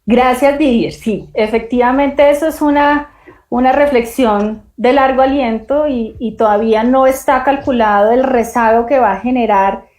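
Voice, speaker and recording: A woman's voice: a little high, moderate pace, a little mellow, roomy sound, poor audio. A woman moderating a panel, thanking speakers and introducing the next one in a friendly, fluent way.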